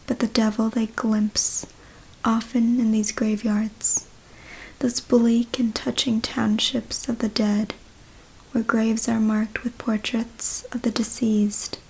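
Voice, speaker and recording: Asmr lady whispering a story real slow, breathy with creaky bits, hushed. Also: a little high, poor audio. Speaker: ASMR reader.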